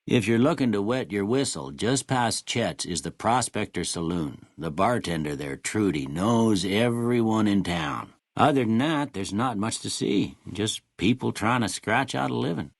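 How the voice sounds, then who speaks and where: An actor playing a kindly, world-weary old small-town doctor in a video game, with a husky voice and a folksy, rustic American drawl. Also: male voice, on the low side, no echo, studio quality. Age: older. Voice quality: husky, gravelly. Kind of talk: video game character dialogue.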